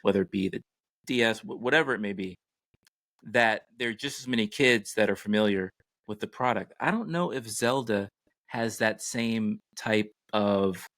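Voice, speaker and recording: A middle-aged man hosting a podcast in a casual, measured way, pausing between phrases. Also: fairly low pitch, fairly bright tone, no echo. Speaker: podcast co-host.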